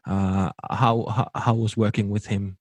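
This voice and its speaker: A man's voice: on the low side, little pitch movement, unhurried, no room sound. A young man with a Finnish accent interviewing a singer, polite and hesitant with many fillers. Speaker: radio host, interviewer. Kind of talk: interview questions in English.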